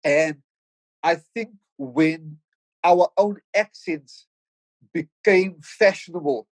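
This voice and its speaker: A man's voice: a little high, slow, no room sound. A middle-aged man with a Cape Town South African accent, a podcast guest talking reflectively with lots of stammered restarts. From South Africa, Cape Flats in Cape Town. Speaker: podcast guest, rapper and producer. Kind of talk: casual podcast conversation.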